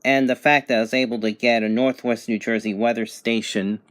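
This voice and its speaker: A man's voice: medium pitch, steady tone, a little fast, a bit bright. A man giving a casual, unscripted product review in a plain-spoken, matter-of-fact way. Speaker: radio reviewer.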